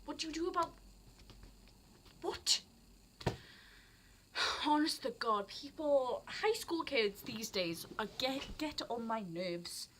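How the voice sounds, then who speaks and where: A woman's voice: high-pitched, lively intonation, slow, long pauses, fairly bright tone, slight background noise, rough recording. A teenage girl with a South Wales Barry accent tinged with English, rambling expressively in an unscripted casual rant as she jumps between thoughts. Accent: South Wales, Barry, with some English sounds. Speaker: vlogger.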